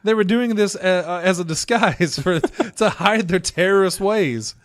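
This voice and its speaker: A man's voice: high voice, measured pace, no room sound, studio quality. Joking sarcastic male podcast host. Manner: joking, sarcastic, laughs often. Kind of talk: casual podcast chat.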